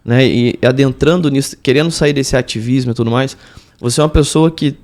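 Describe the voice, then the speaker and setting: A man's voice: mid-range voice, a little fast, a little mellow. A man with a Brazilian accent hosting a podcast, asking casual, probing questions that circle toward the point. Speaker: podcast host interviewing a guest.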